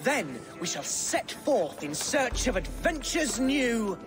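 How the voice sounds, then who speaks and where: An actor playing an excitable, boastful young Saxon lord with a flowery, theatrical manner in a video game. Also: male voice, extremely high pitch, slow, music in the background, exaggerated voice. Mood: admiring, jaunty. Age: young adult. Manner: theatrical, grandiloquent. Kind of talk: video game cutscene acting.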